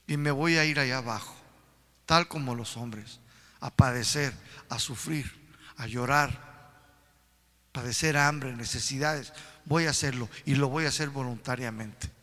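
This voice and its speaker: A man's voice: medium pitch, a little slow, pauses often, a bit bright, pitched below the performer's own voice, lightly acted. A man voicing a humble, self-sacrificing divine son speaking to his father in a sermon, solemnly renouncing things in a list. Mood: humble resignation. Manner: solemn, listing renunciations. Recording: reverberant hall.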